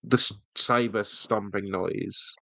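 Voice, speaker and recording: A man's voice: lowish voice, steady tone, slow, vocal fry, sharp tone, no echo. A man co-hosting a podcast, chatting casually as an enthusiast reviewing something. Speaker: podcast co-host. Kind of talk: casual chat, fan review.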